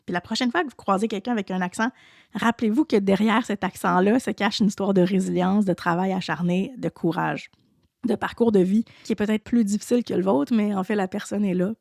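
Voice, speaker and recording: Gravelly-voiced Quebec French woman host. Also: mid-range voice, darkish tone, dry recording, clean studio sound. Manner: conversational, many restarts and "tu sais" fillers. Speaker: podcast host and French teacher. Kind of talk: casual solo podcast talk.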